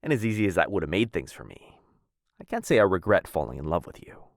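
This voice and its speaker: Man acting a low, intimate lovestruck assassin. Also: very animated, fast, no echo, studio quality. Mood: tender, conflicted. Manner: intimate, teasing, formal old-fashioned phrasing. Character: smooth, menacing yet lovestruck male assassin. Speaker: voice actor. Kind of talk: boyfriend ASMR roleplay.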